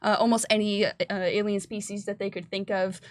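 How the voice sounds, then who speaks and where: A woman's voice: mid-range voice, little pitch movement, fairly quick, dry recording, studio quality. A woman, chatty and enthusiastic, hosting a casual panel discussion. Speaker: host of a game developers' livestream.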